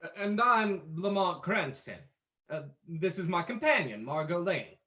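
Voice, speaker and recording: A man playing a bold, confident gentleman detective in an old-time radio drama, theatrical and commanding. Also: sits high, sing-song, a little slow, lo-fi sound, heavily acted. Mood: polite, inquiring.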